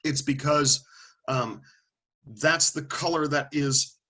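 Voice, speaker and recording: A man's voice: fairly high pitch, steady tone, takes it slowly, bright, forceful tone. A man lecturing a classroom of students, calling on them by name and thinking aloud as he explains. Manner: conversational, thinking aloud with restarts and asides.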